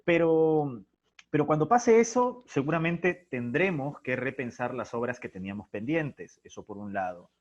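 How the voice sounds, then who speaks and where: A man talks as an interview guest, thinking his way through each point. His voice sits at an average pitch and his delivery is expressive and anecdotal. The sound is muffled and lo-fi, as from an internet call. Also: moderate pace. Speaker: interview guest, theatre playwright and director. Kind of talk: reflective interview conversation.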